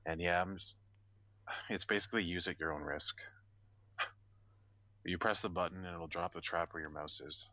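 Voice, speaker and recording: A man's voice: deep voice, steady tone, normal speed, pauses often, pushed and bright, no echo. A man explaining game details casually on a podcast in a matter-of-fact, concise way. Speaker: podcast co-host. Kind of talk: casual explanatory gaming discussion.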